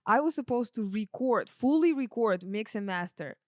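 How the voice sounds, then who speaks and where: Casting: female podcast guest, thirties, Russian native speaker. Fairly high pitch, dry recording, casual and self-effacing conversational delivery. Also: lively intonation, normal speed, darkish tone, no echo. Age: 30s. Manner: informal, self-effacing, peppers talk with "like" and "you know". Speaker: podcast guest, sound engineer. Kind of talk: casual chat interview.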